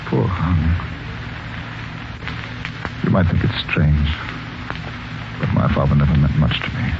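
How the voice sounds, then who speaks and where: A man's voice: extremely low pitch, steady tone, takes it slowly. An actor playing an earnest, loyal son of a businessman in a 1940s radio mystery. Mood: shaken, sorrowful. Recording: muffled, noisy 1940s radio recording.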